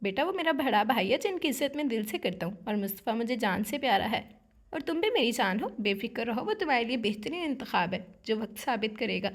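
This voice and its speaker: A woman voicing an affectionate older father who speaks gently and reassuringly in an audiobook. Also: fairly high pitch, lively intonation. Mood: fond. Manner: gentle, fond.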